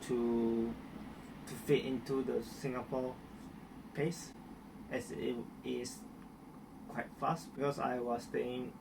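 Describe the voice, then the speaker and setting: A man's voice: mid-range voice, level tone, takes it slowly, gravelly, long pauses, distant mic, background noise. A young man from Malaysia with a Malaysian accent, answering interview questions in a hesitant way with many restarts and fillers.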